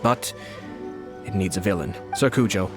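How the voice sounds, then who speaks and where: Man playing refined young lord, game character, low pitch, measured, courteous, quietly menacing, music behind. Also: close to an everyday voice. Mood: composed, plotting. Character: calm, shrewd young nobleman commissioner, polite and quietly menacing. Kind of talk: video game voice acting.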